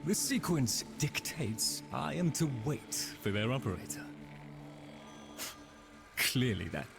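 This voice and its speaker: An actor playing a formal, bitter construct left behind in a video game, haughty and halting, with a melancholy air. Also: male voice, mid-range voice, sing-song, gravelly, exaggerated voice. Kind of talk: scripted video game character acting. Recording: very loud music over voice.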